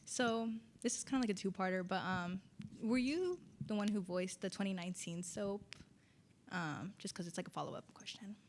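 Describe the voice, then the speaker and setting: A woman's voice: medium pitch, normal speed, slight background noise. A woman in an audience casually asking a fan question at a panel. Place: convention panel.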